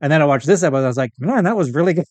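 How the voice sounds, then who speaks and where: Male podcast guest, fast casual chat, anecdotal style, dry sound. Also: on the high side, animated, dry recording. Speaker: podcast guest, audio fiction writer. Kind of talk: casual pop-culture conversation.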